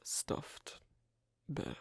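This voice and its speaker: A performer voicing an asocial werewolf in an ASMR roleplay, hesitant and gently instructive, in a whispery, breathy, creaky voice. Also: very slow, no room sound, studio quality. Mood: patient, explaining. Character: asocial werewolf on a first date, patiently teaching sewing.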